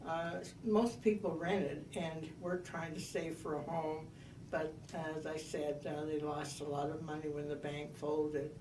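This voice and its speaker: A woman in her eighties telling her life story in an oral history interview, measured and reminiscing with frequent pauses. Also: low voice, level tone, roomy sound, recorded from a distance, noisy background. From United States. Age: 80s.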